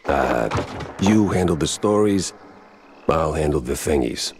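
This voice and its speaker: Very deep, hard-boiled mouse detective. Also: male voice, expressive, unhurried, background noise, heavily acted. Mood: wry, uneasy. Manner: wry, terse tough-guy talk. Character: hard-boiled male mouse private detective, dry and gruff. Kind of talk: noir game dialogue. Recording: music behind the voice.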